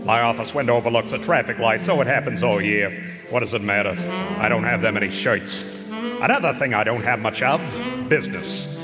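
An actor playing a weary, wry private eye in a noir radio drama, narrating and wisecracking in a deadpan, world-weary way. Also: male voice, medium pitch, a little fast, over loud music, close to an everyday voice. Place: large performance hall stage. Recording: echoey concert hall, live stage broadcast.

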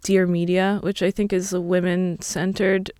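A woman in her early thirties chatting casually as an interview guest, explaining things in a thoughtful, halting way. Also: on the low side, little pitch movement, a little mellow, clear, resonant tone, no echo, studio quality. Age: early 30s. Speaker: podcast guest.

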